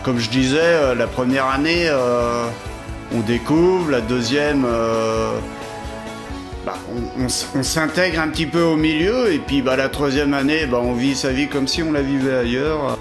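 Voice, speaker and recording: A man's voice: mid-range voice, unhurried, music in the background. A man talking casually in an interview about his own experience. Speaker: interviewee, expatriate.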